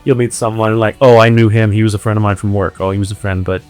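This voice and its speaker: A man's voice: fairly low pitch, fairly even intonation, quick pace, darkish tone, soft background music. A man, an indie band musician, chatting casually and jokingly in an interview. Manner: joking, banter with bandmate.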